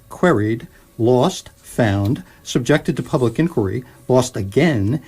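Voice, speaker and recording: Radio comedy: blunt, knowing electronic book narrator male actor, middle register, lively inflection. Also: mid-range voice, slightly rough.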